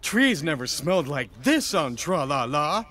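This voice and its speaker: A man playing an excitable alien disguised as a little dog, theatrical and exclamatory, in a sci-fi audio drama. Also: fairly high pitch, a little slow, slight background noise, exaggerated voice. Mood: giddy wonder. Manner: theatrical, exclamatory, arguing with himself.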